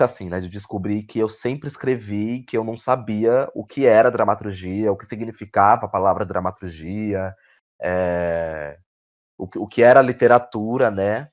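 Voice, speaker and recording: A man with a Brazilian accent answering in a conversational interview, reflective and halting, often adding little tag questions. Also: mid-range voice, a little mellow, lo-fi sound. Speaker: guest playwright and actor. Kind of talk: conversational interview answers about own work.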